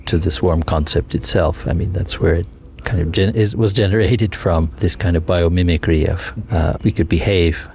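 A man's voice: low voice, fairly even intonation, normal speed, a little mellow. A man from the United States, a multimedia sound artist, explaining his work in an interview in a thoughtful, rambling way with many fillers and restarts.

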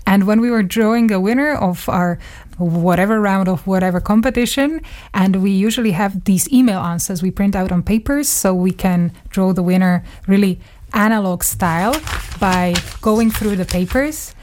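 A woman's voice: medium pitch, normal speed, a little mellow. Woman reminiscing in a radio studio. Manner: thoughtful, laughs between phrases. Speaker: radio journalist. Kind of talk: conversational reminiscence.

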